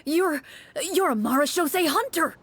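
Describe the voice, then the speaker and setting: Woman acting an excitable, high-pitched anxious townsman. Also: moderate pace, slight background noise. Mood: startled, overjoyed. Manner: breathless, effusive exclamations. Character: anxious, excitable townsman awed by monster hunters. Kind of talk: role-playing game character acting.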